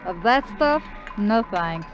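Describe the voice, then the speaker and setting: An actress playing a fed-up, sharp-tongued gambler's girlfriend in a 1950s radio drama, cool and sarcastic. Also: medium pitch, expressive, slow, music in the background. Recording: dull vintage radio recording.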